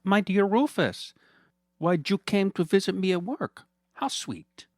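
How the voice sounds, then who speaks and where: A man puts on a vain, scheming villain who purrs every line with theatrical condescension. His voice sits higher than a plain speaking voice and swoops around with a lot of lilt. The sound is close and dry. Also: lively intonation, measured pace, dry recording. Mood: smug. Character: smug villainous male hand model. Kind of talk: comedic storytelling voice.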